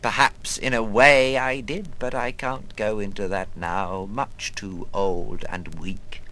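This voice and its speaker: An actor playing an old, half-mad, bitter but harmless wanderer in a dramatic monologue, rambling in broken phrases with sudden outbursts. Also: male voice, medium pitch, fairly bright tone, poor audio. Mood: confused, faltering. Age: older. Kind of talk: dramatic literary monologue reading.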